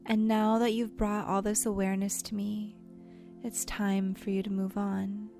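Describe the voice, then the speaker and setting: A woman leading a guided imagery meditation in a soft, soothing, gentle voice that turns breathy at times, with unhurried pauses. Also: medium pitch, flat delivery. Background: soft background music.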